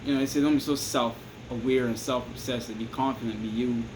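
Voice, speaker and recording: A man with a Barbadian accent talks loosely and at a middling pitch, circling back on himself and checking in with the listener. A steady hiss and air-conditioner hum sit under his voice. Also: a little fast. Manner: rambling, repeats "you understand me?", restarts often. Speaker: vlogger giving advice. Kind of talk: casual advice monologue to camera.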